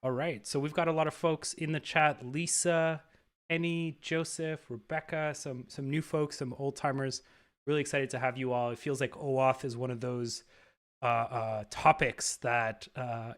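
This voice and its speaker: A man's voice: mid-range voice, fairly even intonation, moderate pace, a bit bright. A man hosting a live stream, talking casually in an upbeat way, with lots of fillers and repeated words.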